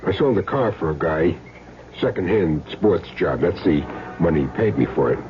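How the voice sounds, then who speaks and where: An actor playing a cool, wisecracking hired killer in a radio drama, dry and glib. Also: male voice, sits low, expressive, moderate pace, over music. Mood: wary, evasive. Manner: dry, glib, evasive answers. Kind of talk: 1970s radio drama acting. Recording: dull vintage radio broadcast.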